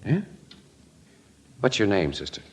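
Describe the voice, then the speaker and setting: An actor playing a wry, skeptical, streetwise newspaper photographer in an old-time radio crime drama, with clipped, slangy wisecracks. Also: male voice, on the low side, sing-song, a little slow, slightly rough, exaggerated voice. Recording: dull, lo-fi old radio recording.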